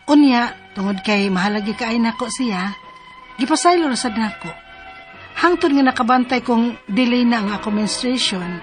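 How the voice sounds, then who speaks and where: Woman acting a high-voiced, wronged young Cebuano mother. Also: lightly acted. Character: wronged young working mother, long-suffering, fiery when betrayed. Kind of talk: radio drama acting and first-person narration.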